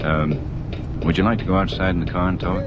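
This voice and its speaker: A man playing a steady, polite police detective who asks matter-of-fact questions in an old-time radio drama. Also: sits low, a little fast, lightly acted. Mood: calm, probing. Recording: dull old radio under loud engine noise.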